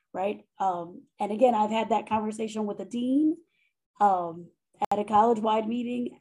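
Casting note: woman educator, loud but mellow voice, thoughtful interview talk with frequent restarts. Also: mid-range voice, rough recording. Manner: thoughtful, self-correcting, frequent "right?" and "um". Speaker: faculty member and monograph author. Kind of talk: conversational interview.

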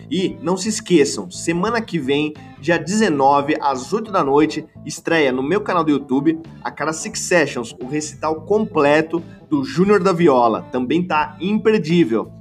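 A Brazilian man hosting a casual interview in an enthusiastic, upbeat way. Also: fairly high pitch. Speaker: host. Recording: roomy, faint music behind.

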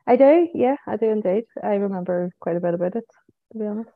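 A woman's voice: medium pitch, fast talker. A Northern Irish woman chats as an interview guest, repeating phrases and filling gaps with "you know". Her voice comes through thin and phone-like, with no room echo at all. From Northern Ireland. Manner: chatty, repeats words, tags sentences with "you know" and "so it is". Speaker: podcast guest, psychic medium. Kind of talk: casual interview chat. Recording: tinny phone-like line.

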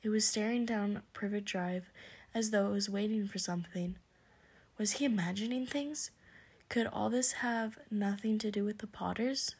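Breathy female storyteller, hushed whispered reading, dark mellow tone. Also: mid-range voice, fairly even intonation, moderate pace. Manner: soft whispering narration.

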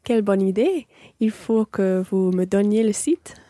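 A woman playing a polite, impressed dinner companion in a language-course radio play, phrasing her lines clearly. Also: mid-range voice, moderate pace, mellow, no echo, studio quality, natural voice.

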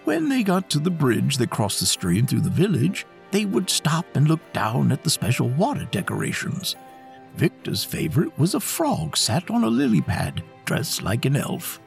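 A man narrating a scripted children's story in an expressive, dramatic way. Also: medium pitch, normal speed, creaky, faint music behind.

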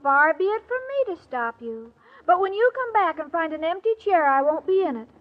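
A sweet, dim-witted young American woman with an extremely high, childlike voice. She is plaintive and self-pitying, gullible in every line. It sounds like a muffled 1940s radio comedy. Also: big pitch swings, normal speed, background noise. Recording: muffled old radio recording.

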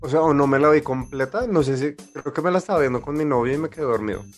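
A man's voice: fairly high pitch, faint music behind. A man co-hosting a casual podcast, teasing and chatty. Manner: teasing, chatty, quick asides. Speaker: podcast co-host.

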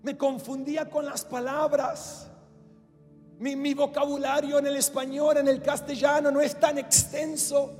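A man from Australia with an Australian English accent, preaching with a strikingly high voice. He drives each point home with emphatic repetition and direct appeals to his listeners, in a church hall with long, ringing reverb and faint music beneath. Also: flat delivery, measured pace, a little mellow. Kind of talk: sermon.

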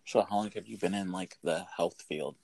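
Guy in his late 20s, deep gravelly voice, bouncy delivery, asks friendly questions. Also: normal speed, a little mellow, dry recording. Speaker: interview host. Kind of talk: casual video chat interview.

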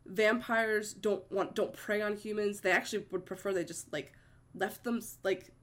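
A woman's voice: medium pitch, fairly even intonation, normal speed. Congested woman host, chatty and upbeat. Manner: chatty, enthusiastic, laughs easily. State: congested from a cold. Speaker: podcast host. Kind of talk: casual chat.

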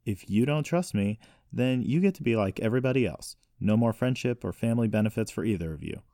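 A man's voice: mid-range voice, lively intonation, fast, warm tone, no echo, studio quality, pitched above the performer's own voice, lightly acted. A man playing an indignant, wounded young man in an audiobook story, incredulous and defensive. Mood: hurt, indignant.

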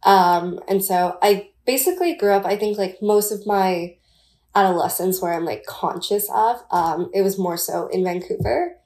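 A woman's voice: medium pitch, moderate pace, a little mellow. Chatty young woman full of fillers. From Taiwan. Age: 20s. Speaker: guest. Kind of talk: casual podcast interview.

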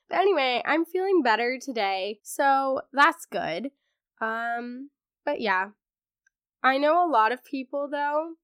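A teenage girl talks through a podcast episode in a fairly high voice, stuffy and congested from a lingering throat illness. Her chat is loose and sarcastic, full of "um" and "like". The sound is close and dry, with no room around it. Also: fairly bright tone, smooth, dry recording. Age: teens. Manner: chatty and sarcastic, many fillers. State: congested, recovering from laryngitis. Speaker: podcast host. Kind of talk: casual chat.

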